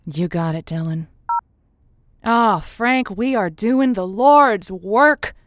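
A woman playing an earnest, assured agony aunt on a radio call-in show, measured and probing. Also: mid-range voice, expressive, no room sound, clean studio sound. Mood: earnest advising. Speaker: call-in advice show host. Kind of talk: semi-improvised audio drama, radio host.

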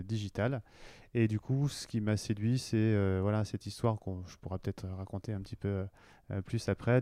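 A man's voice: fairly low pitch, dark tone, clear, resonant tone, dry recording. A man in his forties, a marketing director talking through his career in an interview, hesitant with many fillers and restarts. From western France. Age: 40s. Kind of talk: conversational interview.

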